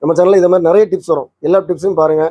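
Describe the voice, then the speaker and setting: A man's voice: high-pitched, fairly even intonation, racing, dark tone, no room sound. A man giving an informal hands-on tutorial, explaining step by step and addressing viewers directly. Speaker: presenter of a record player tutorial channel.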